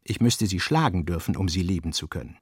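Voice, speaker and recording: A man reading the part of a brooding, cruelly candid man in a literary radio piece, quiet and weighty. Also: lively intonation, fairly quick, breathy edge, no room sound, clean studio sound, heavily acted. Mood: quiet menace. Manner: low, quiet, weighty delivery.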